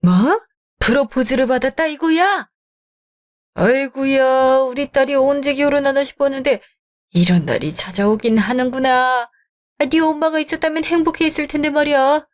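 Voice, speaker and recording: A woman's voice: high-pitched, normal speed, pitched above the performer's own voice, close to an everyday voice. A woman voicing a fond, doting father in a radio drama. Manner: warm, fond. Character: doting widowed father, fond older man.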